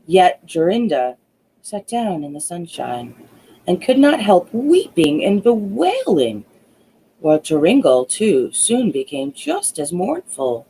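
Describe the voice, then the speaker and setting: Slow, very expressive woman storyteller. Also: on the low side, husky, low-quality recording. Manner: deliberate, dramatic. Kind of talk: expressive fairy-tale narration.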